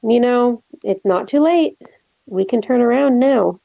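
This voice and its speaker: Female best friend, horror drama, read by an actress: teasing, protective, wry, gravelly fry, dull lo-fi sound. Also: a little high, a little slow, no echo. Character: Lex, teasing, protective young woman friend. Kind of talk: table read of a horror film script.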